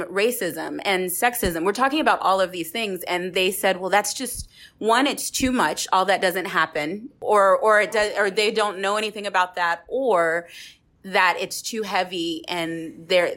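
A woman with a lowish voice and a bright, forceful edge. She speaks as host and writer in a casual discussion, feeling her way through sentences. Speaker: playwright and host.

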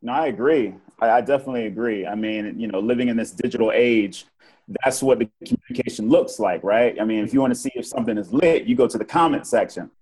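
A man from Virginia talking as a podcast guest in a relaxed, joking way, often asking "right?". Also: mid-range voice, fast talker, slightly rough, low-quality recording. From Virginia, United States. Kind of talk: casual discussion.

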